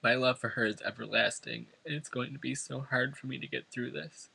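A man's voice: mid-range voice, steady tone, fairly quick, vocal fry, pushed and bright, husky, dry recording, poor audio. A man from New York State reading a prepared eulogy at a funeral, earnest and emotional. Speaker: son giving a eulogy. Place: funeral service before family and friends.

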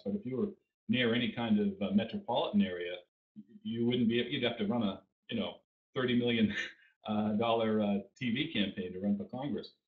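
A man in his fifties with a Boston accent, a guest answering questions over a video call, rambling with fillers. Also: fairly low pitch. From Boston area. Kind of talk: interview answers. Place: home room. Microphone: video call webcam. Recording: roomy dull webcam audio.

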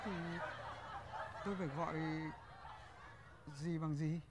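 A man's voice: fairly high pitch, steady tone, slow. Bashful, flustered Northern Vietnamese man. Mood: awkward. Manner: hesitant, flustered, stammering exclamations. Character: awkward, bashful, solicitous man living alone. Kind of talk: comedy sketch acting.

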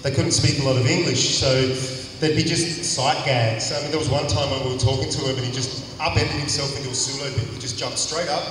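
A man's voice: mid-range voice, steady tone, fairly quick, fairly bright tone. A man, chatty and full of anecdotes on a convention panel. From Australia. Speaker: panel guest actor. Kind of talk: casual convention panel talk. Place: large convention hall panel, indoors. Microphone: handheld mic. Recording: echoey hall, far from the mic, crowd noise.

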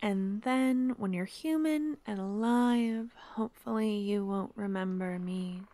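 A woman playing a shy, kind-hearted young witch in an ASMR fantasy romance roleplay, speaking softly and hesitantly, often close to a whisper. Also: medium pitch, measured pace, no echo, close to an everyday voice. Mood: gentle, determined. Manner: soft, breathy, hesitant, often dropping to a whisper. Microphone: close ASMR microphone.

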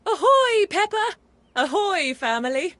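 A woman's voice: extremely high pitch, big pitch swings, measured pace, a bit bright, no echo, full character voice. A woman playing a hearty, friendly female otter ship captain in a children's cartoon game.

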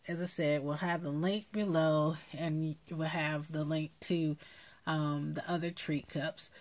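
A woman hosting a craft video, casually explaining her handmade project in a halting way. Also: deep voice.

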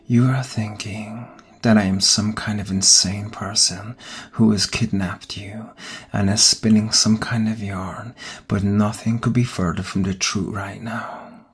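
A man playing an ancient vampire captor in a whispered ASMR roleplay, soothing yet menacing, coaxing softly and deliberately. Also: lowish voice, flat delivery, heavily acted. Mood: earnest, intense. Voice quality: breathy whisper. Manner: slow, hushed, deliberate, coaxing. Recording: close voice over background music. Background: music.

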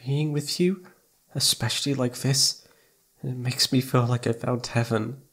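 A man voicing a shy, adoring young boyfriend in an ASMR roleplay, with soft, breathy, pleading, hesitant speech. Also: medium pitch, fairly even intonation, a little slow. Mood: longing, tender.

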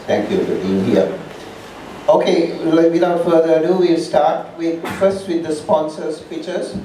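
A man's voice: a little high, measured pace, warm tone, a little hiss or hum. A man hosting a startup meetup and making announcements, with a rambling manner and frequent restarts. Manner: rambling, restarts often, enthusiastic. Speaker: meetup organizer and host. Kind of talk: live event announcements. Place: large dark event hall, at a lectern. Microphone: handheld mic through PA, filmed from far. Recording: echoey hall, distant camera.

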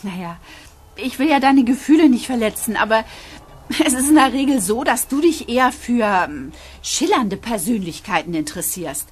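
A woman's voice: on the high side, expressive, normal speed, breathy edge. An actress playing a sceptical, level-headed teenage schoolgirl in a radio play, frank and teasing in a matter-of-fact way. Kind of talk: German radio play acting for young listeners.

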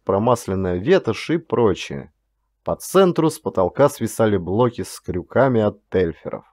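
Guy reading an audiobook story, dramatic delivery, lively pitch, dry close sound. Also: no room sound. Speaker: audiobook narrator, actor. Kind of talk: scripted dramatic first-person audiobook narration.

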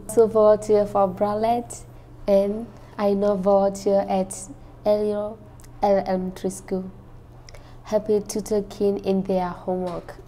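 A teenage girl from Burma reads her personal story as a high school student, in careful, simple phrasing. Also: mellow, a little hiss or hum. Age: late teens.

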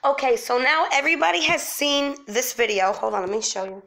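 A woman's voice: high-pitched, lively intonation, brisk, gravelly, fairly bright tone, slight background noise. A woman chatting casually to her online followers, informal and repeating words for emphasis, sick and losing her voice. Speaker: online video host. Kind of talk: casual chat to followers, commentary.

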